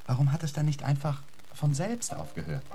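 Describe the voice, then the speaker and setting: An actor playing a skeptical, probing gentleman lecturer in a German radio drama, questioning and expressive. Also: fairly high pitch, a little fast, soft background music.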